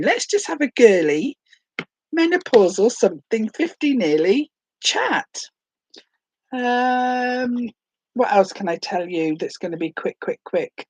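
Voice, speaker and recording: A woman's voice: mid-range voice, a little mellow, no echo. A woman in her late forties from Hereford, chatting casually and rambling a little in a first-ever vlog while full of a cold with a sore throat. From England, Hereford. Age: late 40s. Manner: rambling, self-deprecating, chatty. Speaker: vlogger.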